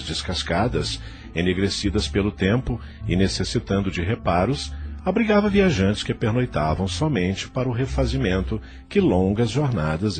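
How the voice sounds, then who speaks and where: A Brazilian man narrates an audio novel in a low, deep voice. His intonation moves widely and theatrically through the literary text. Music plays faintly behind him. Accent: Brazilian Portuguese. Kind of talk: dramatized scripted narration of a novel.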